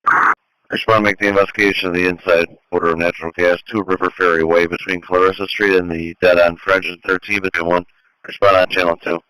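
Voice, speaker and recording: A man dispatches fire crews over the radio in a very low, flat voice. His delivery is fast and procedural, and the sound is dry. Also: clean tone, dry recording, poor audio. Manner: procedural, repeats addresses and units. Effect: two-way radio. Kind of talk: formal radio dispatch announcements. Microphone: dispatch radio microphone.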